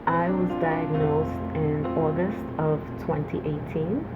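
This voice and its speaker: A shy, quiet woman from Trinidad, a guest in an interview, answering plainly. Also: deep voice, fairly even intonation, takes it slowly. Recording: tinny phone-like line with music.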